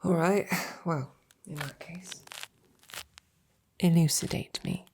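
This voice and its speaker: A woman playing a devoted, courteous female knight in an intimate romantic audio roleplay, speaking softly and breathily with gentle, halting, formal phrasing. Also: on the low side, steady tone, slight background noise. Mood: curious, hesitant. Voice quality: breathy, soft. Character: devoted female royal knight, tender, courteous, easily flustered.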